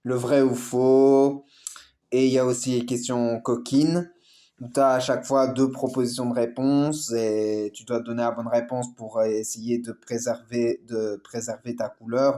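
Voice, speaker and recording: A man chatting through a reaction video, mid-pitched and rambling. He talks loosely and keeps stopping to explain. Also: little pitch movement, unhurried, mellow. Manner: rambling, lots of fillers. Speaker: YouTube reaction host. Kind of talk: casual reaction commentary.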